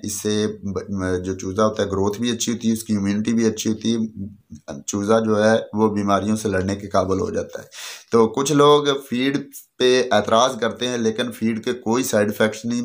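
A man in his thirties with a Pakistani accent, giving informal instructions to camera and repeating his points step by step. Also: on the low side. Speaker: poultry channel host. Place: small furnished room indoors.

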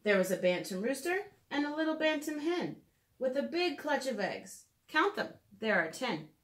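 A woman in her thirties reading aloud and leading a drawing lesson for preschoolers in a clear, simple storytelling manner, recorded in a room at home. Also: sits high, very animated, normal speed. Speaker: children's educator reading and drawing. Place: small room at home, indoors.

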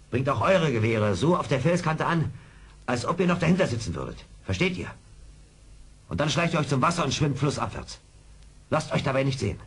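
An actor playing a seasoned, brave frontier scout, commanding and deliberate, in a radio play. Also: male voice, medium pitch, husky. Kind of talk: 1970s German radio play acting.

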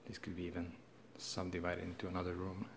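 A low-voiced man walks through an empty house, plainly pointing out rooms as a realtor. The phone recording carries clear background noise. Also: monotone, fast talker. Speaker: realtor giving a home tour. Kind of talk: casual walk-through narration. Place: empty house and its yard, indoors and outdoors.

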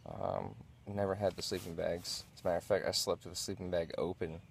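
Casual male vlogger, deep and creaky, low-key and halting, reviewing gear in a tent. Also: big pitch swings, a little hiss or hum. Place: inside a small backyard tent.

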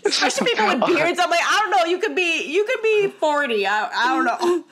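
A woman's voice: very high-pitched, sing-song, a little fast, a crowd in the background. A woman co-hosting a casual video-call interview, playful and teasing. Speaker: podcast co-host.

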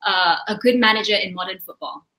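A woman's voice: mid-range voice, a little fast, bright, forceful tone. A young woman hosting an interview, asking a guest questions in a plain, friendly way over a video call. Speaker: host. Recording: echoey room on a video call.

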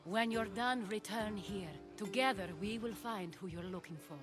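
An actress playing a shrewd noblewoman of ancient Athens in a game, measured and discreet in her delivery. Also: medium pitch, close to an everyday voice. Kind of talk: video game cutscene acting. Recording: music under the voice.

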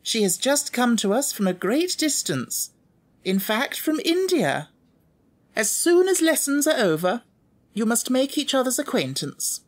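A man's voice: extremely high pitch, big pitch swings, measured pace, voice pushed up, exaggerated voice. A man voicing a severe, imposing headmistress for an audiobook, stiff, formal and tartly polite. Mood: dignified, commanding. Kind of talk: audiobook character voice.

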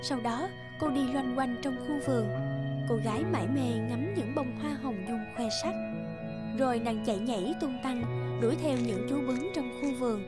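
Female storyteller for animated fairy tales, high voice, clear music bed underneath. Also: moderate pace. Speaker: narrator. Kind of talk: scripted fairy-tale narration.

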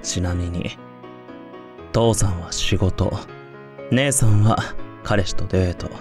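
A calm, devoted young boyfriend from anime drama. His voice is on the low side, with faint music underneath. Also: moderate pace. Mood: calm, suggestive.

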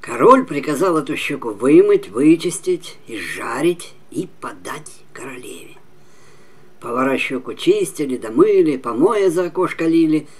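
A man in his seventies narrating a fairy tale expressively and emphatically, with dramatic pauses and drops to a near whisper. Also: a little high, sing-song, husky. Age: 70s. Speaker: storyteller.

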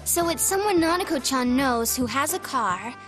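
An earnest teenage girl detective in a video game. Her voice is very high and youthful, and music plays clearly behind it. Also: lively intonation, normal speed. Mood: puzzling it out. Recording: music under the dialogue.

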